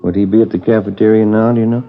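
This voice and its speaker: A man's voice: fairly low pitch, flat delivery, racing, soft background music, close to an everyday voice. Man playing an easygoing police detective. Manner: plain, conversational. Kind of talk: 1950s radio drama acting. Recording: dull old radio broadcast with hiss.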